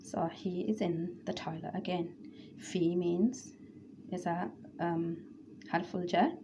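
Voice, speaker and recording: A woman's voice: fairly low pitch, fairly even intonation, takes it slowly, noisy background. A woman teaching Arabic grammar, explaining patiently and repeating word lists.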